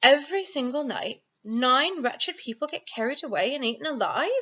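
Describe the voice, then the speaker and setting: A woman's voice: sits high, expressive, moderate pace, sharp tone, slightly rough, dull audio, voice pushed up, heavily acted. A woman voicing a small, inquisitive and indignant young girl in a storybook, outspoken and wide-eyed. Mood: horrified.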